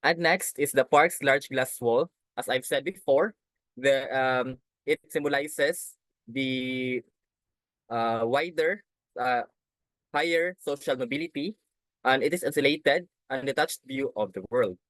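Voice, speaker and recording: Halting young man presenting. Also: mid-range voice, a bit bright, no echo, poor audio. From Philippines. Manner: halting, restarts phrases while explaining slides. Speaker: student presenter. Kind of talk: class presentation.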